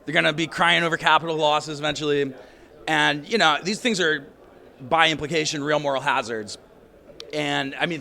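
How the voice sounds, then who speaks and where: A man interviewing a guest as a friendly skeptic, talking fast and swearing casually. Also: a little high, creaky, sharp tone. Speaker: interviewer, startup founder.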